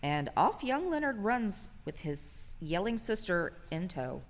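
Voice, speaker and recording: A woman's voice: fairly low pitch, big pitch swings, unhurried. A woman narrating a radio play in a storytelling style. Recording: reverberant hall sound.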